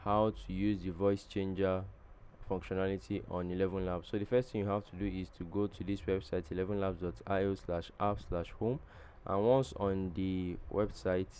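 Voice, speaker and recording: A man hosting a screen-recorded software tutorial, giving plain step-by-step instructions with some hesitant ums. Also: sits low, level tone, moderate pace, slight background noise. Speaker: tutorial host.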